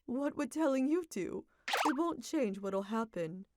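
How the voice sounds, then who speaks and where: A woman's voice: sits high, expressive, measured pace, heavy background noise, pitched above the performer's own voice. A woman voicing a stern, stoic middle-aged mother who speaks bluntly and dismissively in a manga dub. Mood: flat, cold.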